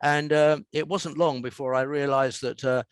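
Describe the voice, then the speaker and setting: An older man with an English accent being interviewed as a writer, telling anecdotes and explaining in a measured, emphatic, conversational way. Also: medium pitch, steady tone, clear, resonant tone. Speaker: author and radio scriptwriter being interviewed.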